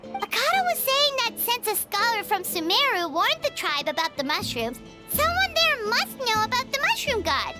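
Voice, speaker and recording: This is a tiny floating companion in a game, chirpy and excitable. Her voice sits very high and swoops about, and she fires off quick exclamations. Loud game music plays under her. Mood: eager.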